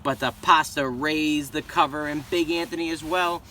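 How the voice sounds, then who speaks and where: A man reading a children's story aloud in an expressive, dramatic way. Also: on the high side, moderate pace, slight background noise. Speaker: storybook reader. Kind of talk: children's read-aloud narration.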